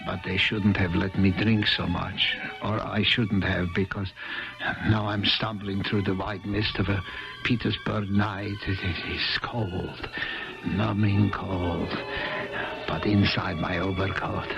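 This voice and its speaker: An actor playing a meek, timid poor clerk in a 1970s radio drama, nervous and apologetic. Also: male voice, deep voice, little pitch movement, takes it slowly, vocal fry, over music, heavily acted. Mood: dreamy narration. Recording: dull old radio broadcast.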